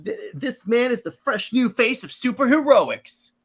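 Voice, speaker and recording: A man's voice: extremely high pitch, very animated, measured pace, voice pushed up, heavily acted. A man voicing a peppy robot sidekick, gushing like an announcer hyping up a superhero. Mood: excited, boastful.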